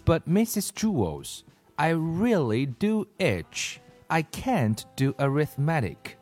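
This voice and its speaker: A man's voice: fairly high pitch, sing-song, takes it slowly, soft background music, pitched above the performer's own voice. A man voicing a miserable young schoolgirl who whines and complains about itching, in a children's storybook. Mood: whiny.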